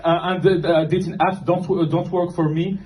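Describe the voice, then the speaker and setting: A young man from Morocco, doing a self-deprecating stand-up set in a comedy club, deadpan and halting with fillers. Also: high-pitched. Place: comedy club with live audience. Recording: noisy club recording. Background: audience laughter.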